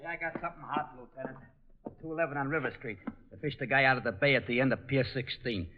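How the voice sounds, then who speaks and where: An actor playing a brisk subordinate police officer giving clipped reports in an old radio crime drama. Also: male voice, medium pitch, close to an everyday voice. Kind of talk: 1940s radio detective drama acting. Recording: dull vintage radio recording.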